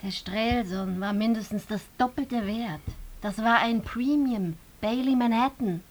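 A woman's voice: a little high, a little slow, darkish tone. An actress performing in a German radio drama, voicing a female court announcer and dinner hostess. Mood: conversational.

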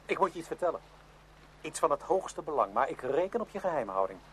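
An actor playing a self-important young man in a Dutch radio play, speaking gravely and emphatically, as one who confides. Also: fairly high pitch, sing-song, quick pace, fairly bright tone. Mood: solemn, important. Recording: noisy old radio drama recording.

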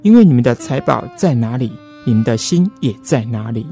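A man hosting late-night campus radio, speaking in a polite, gently soothing manner. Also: medium pitch, animated, fairly quick. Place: radio studio, live broadcast. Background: soft plucked guitar music.

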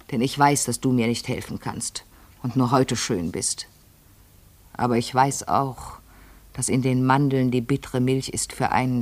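A woman playing a vain, anxious lady obsessed with youth and beauty in a radio play, brooding and poetic, often sinking into breathy whispers. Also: very deep voice, exaggerated voice. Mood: resolute, yearning. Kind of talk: German radio play acting.

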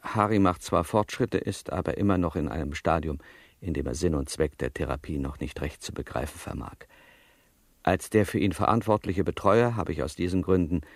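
A man playing a stiff, officious psychologist in a satirical radio drama, speaking in formal, bureaucratic, measured phrases. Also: sits low, dry recording, studio quality. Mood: stern, formal. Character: stiff, officious male psychologist and drug therapist.

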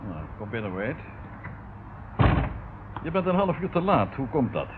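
An actor playing a brusque, impatient police commissioner in a Dutch radio play, on a muffled, very noisy old recording. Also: male voice, mid-range voice, sing-song, a little slow.

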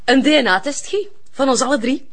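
Scheming Flemish sister, high voice, insistent. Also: female voice, a little fast, over loud music. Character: scheming grown sister, bent on an inheritance. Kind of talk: radio play acting.

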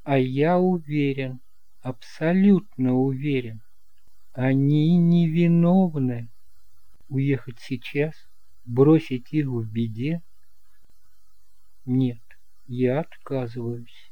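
A man playing an earnest, principled young man in an audio drama, speaking gently but resolutely. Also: medium pitch, slow pace, pauses often, no echo, narrow, tinny audio, natural voice. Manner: earnest, emphatic.

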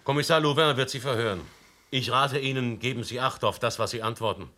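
An actor playing a stern, authoritative official in a radio play who questions curtly and warns sharply. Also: male voice, mid-range voice, fairly quick, pushed and bright, close to an everyday voice. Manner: curt, warning, sometimes sharply raised. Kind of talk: radio drama acting.

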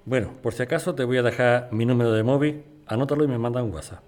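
An actor with a Spanish accent playing an excitable residents' association president in an audio drama. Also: male voice, medium pitch, quick pace, close to an everyday voice. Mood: friendly, flirty. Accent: Spanish from Spain. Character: irritable yet flirty male residents' association president, builder.

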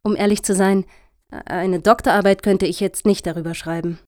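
A woman's voice: medium pitch, steady tone, normal speed, darkish tone, dry recording, clean studio sound, close to an everyday voice. A woman playing a frank, keen young-movement activist applying for a grant in a satirical radio play.

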